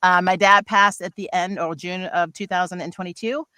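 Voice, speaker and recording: A middle-aged woman with a bright, forward voice, recorded close and dry. She talks casually as a voice actor on a livestream panel, candid and informal, poking fun at herself. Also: lowish voice, fairly even intonation, moderate pace, smooth, dry recording. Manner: candid, self-deprecating humor; informal slangy phrasing.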